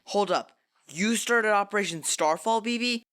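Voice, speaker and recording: A woman's voice: mid-range voice, normal speed, fairly bright tone, close to an everyday voice. A woman voicing a hurt, demanding teenage boy gang member, indignant and challenging, in a dramatised fanfiction reading.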